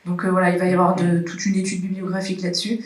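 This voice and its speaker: A woman's voice: on the low side, rapid-fire. A woman from a regional agriculture chamber explaining a project to a workshop. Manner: explanatory, flat delivery. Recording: roomy hall, distant mic.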